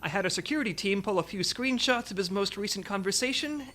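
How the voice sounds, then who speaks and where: A woman's voice: medium pitch, animated, fast, pushed and bright. A woman playing a businesslike, patient intelligence section chief giving a briefing in a comedy audio drama, authoritative and diplomatic.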